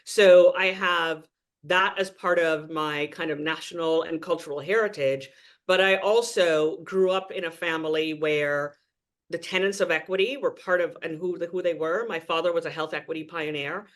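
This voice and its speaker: A man's voice: on the high side, a bit bright. A man from Trinidad and Tobago, a guest speaking earnestly and with emphasis in a podcast interview.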